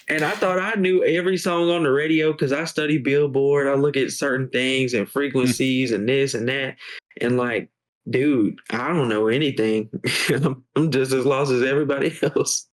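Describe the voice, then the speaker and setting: Brief: young male musician guest, twenties, relaxed slangy podcast talk, average pitch. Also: normal speed. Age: 20s. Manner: laid-back slang, says bro a lot. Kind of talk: casual podcast chat.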